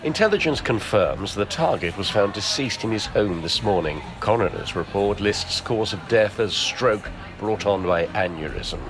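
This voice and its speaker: A man plays an intelligence handler in an audio drama. His voice is low, and he briefs in a matter-of-fact, official tone, detached and clipped. Music plays clearly underneath. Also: animated, normal speed, hardly any pauses, lightly acted. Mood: cool, businesslike. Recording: music behind voice.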